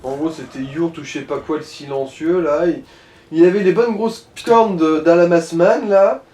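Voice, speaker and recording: A man with a fairly high voice comments casually on a game and gives directions. His wordy phrasing mixes mock-formal turns with slang, recorded in an echoey room. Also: moderate pace, a little mellow. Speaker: co-player guiding the gamer.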